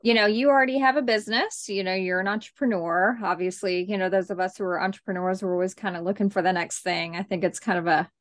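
A woman's voice: mid-range voice, steady tone, fast talker, few pauses. Chatty woman hosting a podcast. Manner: friendly, chatty, laughs easily. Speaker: podcast host. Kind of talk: casual interview.

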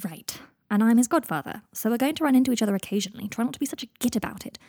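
Woman doing an exasperated young man, blunt, weary, soft husky voice, audiobook dialogue. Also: a little high, animated, racing, dry recording, studio quality.

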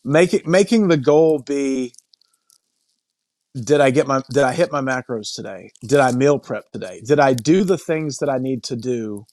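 A middle-aged man talking casually and reflectively as a podcast guest, thinking aloud in a halting way and repeating phrases. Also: mid-range voice, a little mellow. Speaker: podcast guest, coaching client. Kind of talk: casual reflective interview talk.

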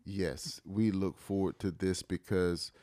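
A man's voice: deep voice, steady tone, slow, dark tone, dry recording. A man co-hosting a talk show, introducing a guest with effusive praise. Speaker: co-host.

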